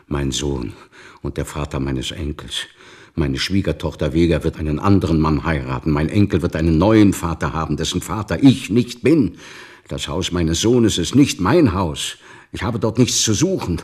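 An actor playing an embittered, grieving old father in a radio play, with a rough, husky voice and a brooding, defiant, anguished delivery. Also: low-pitched. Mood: bitter resignation. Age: older. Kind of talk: dramatic radio play acting.

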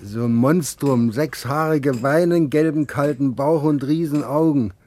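A man's voice: on the high side, a little slow, a little mellow. An actor playing an evasive fairground showman, a burly former wrestler, talking colloquially and making sheepish excuses in a 1960s German radio crime drama. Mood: confessing, defensive.

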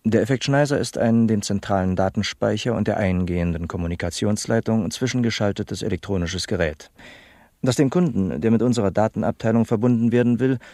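A man's voice: lowish voice, mellow, dry recording, studio quality, close to an everyday voice. An actor playing an earnest, literal-minded young male office apprentice in a satirical radio play, matter-of-fact and officious. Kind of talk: satirical radio drama acting as an interviewee.

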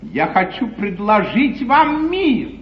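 On a muffled, slightly noisy 1950s theatre recording, a scheming English lord of the classical comedy stage. His high voice swings widely, declaiming with suave, ironic courtliness. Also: huge pitch swings, slow, heavily acted. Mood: courtly irony. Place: theatre stage before an audience.

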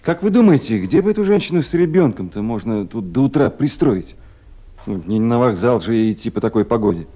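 An actor in a 1970s radio drama playing a busy, caring Soviet town official with a conversational, paternal manner. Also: male voice, medium pitch, big pitch swings, a little fast, dark tone. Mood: businesslike.

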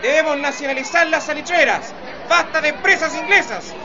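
A man's voice: very high voice, fairly quick, highs cut off, noisy background. A man playing an idealistic president in a radio play, speaking. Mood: impassioned, resolute. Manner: declamatory. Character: idealistic honest Chilean president, impassioned orator. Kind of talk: radio theatre acting. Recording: loud music and crowd behind.

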